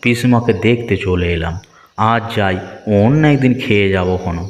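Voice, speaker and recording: A man's voice: fairly low pitch, a little fast, lightly acted. A man playing a dutiful, good-natured village family man in a moral-story cartoon, earnest and familiar in manner. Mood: affectionate, reassuring. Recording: reverberant hall effect, music behind.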